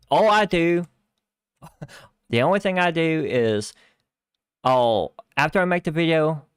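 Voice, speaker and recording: A man hosting a live stream in casual chat, rambling with lots of fillers and restarts, on a starter microphone that lacks bass. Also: clear, resonant tone, dry recording, studio quality. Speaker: livestream host. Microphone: starter desk microphone lacking bass.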